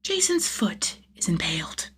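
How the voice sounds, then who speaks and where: A woman's voice: on the low side, big pitch swings, a little slow, fairly bright tone, husky, in a whisper. A woman narrating fan fiction as a scripted audiobook-style reading, with a dramatic, emphatic delivery. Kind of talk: expressive scripted story narration.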